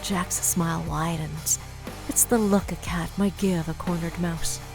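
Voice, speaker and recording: A woman's voice: on the low side, fairly even intonation, a little slow, music in the background, poor audio. A woman narrator delivering dramatic scripted narration for an audio story.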